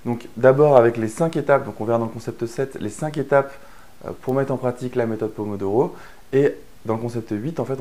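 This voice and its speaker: A man's voice: mid-range voice, dark tone. A man from France explaining a productivity method in a chatty online lesson, with frequent fillers and restarts. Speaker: online course instructor. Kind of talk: explanatory course narration.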